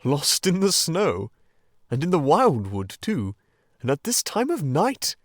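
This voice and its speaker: A man reading a storybook and voicing a gruff, suspicious yet fatherly old male badger. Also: mid-range voice, big pitch swings, slow, fairly bright tone, no room sound, studio quality, heavily acted. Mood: warmly surprised.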